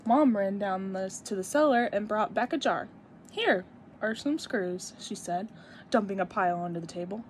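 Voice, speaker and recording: A woman's voice: medium pitch, a little fast, darkish tone, a little hiss or hum. A woman in her twenties reading a picture book aloud expressively for young children, with teacherly emphasis and asides. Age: 20s.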